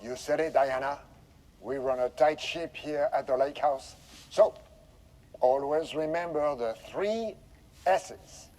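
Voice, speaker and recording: An actor playing a falsely cheery, smug research director presenting a stilted corporate welcome video in a game. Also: male voice, a little high, slow pace, darkish tone, low-quality recording. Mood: forced cheer. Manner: chirpy, stilted presenter delivery.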